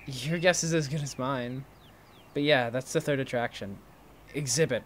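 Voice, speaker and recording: A male actor plays an inquisitive, impulsive man who gets defensive easily. His delivery is conversational audio drama dialogue at an average pitch. There is clear ambient noise behind him. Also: moderate pace, close to an everyday voice. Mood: eager. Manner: animated protests, inquisitive, defensive. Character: Wynn, impulsive, curious, defensive male friend.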